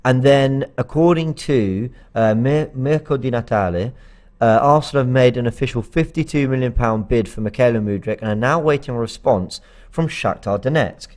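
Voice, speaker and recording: A man's voice: medium pitch, normal speed, darkish tone. A man co-hosting a live football fan show, talking casually and rambling with lots of fillers and restarts. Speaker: fan channel co-host.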